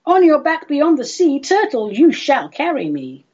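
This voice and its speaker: A woman voicing an earnest, lovelorn little male creature in a comic poetry recital, theatrical and pleading, with drawn-out phrases. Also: high-pitched, huge pitch swings, darkish tone, exaggerated voice. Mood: resolute. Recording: dull lo-fi recording.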